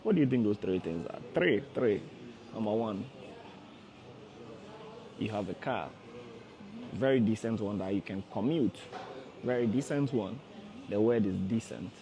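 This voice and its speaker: A man's voice: lowish voice, expressive, measured pace, long pauses, lo-fi sound, a crowd in the background. A man in his thirties, a forex trader hosting a casual livestream, who repeats himself and coaxes viewers directly. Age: 30s. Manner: repetitive, coaxing, addresses viewers directly. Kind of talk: casual motivational livestream talk.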